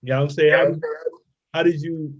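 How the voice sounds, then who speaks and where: A man's voice: a little high, fairly even intonation, normal speed, lo-fi sound. A man co-hosting a podcast, asking loose, slangy questions. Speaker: podcast co-host. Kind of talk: casual podcast interview.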